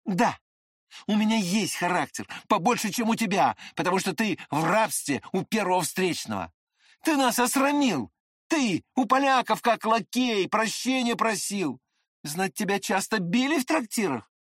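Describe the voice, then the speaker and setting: A man's voice: sits high, lively intonation, measured pace, fairly bright tone, no room sound, studio quality, pitched above the performer's own voice, full character voice. A man voicing a proud, touchy young man with a defiant, indignant manner in an audiobook. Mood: scornful. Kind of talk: dramatized audiobook character voice.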